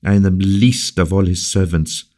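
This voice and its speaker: A man's voice: low voice, fairly even intonation, moderate pace, darkish tone, pitched above the performer's own voice, natural voice. A man doing a passionate young court lady. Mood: fervent. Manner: fervent, pleading. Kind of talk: audiobook character reading.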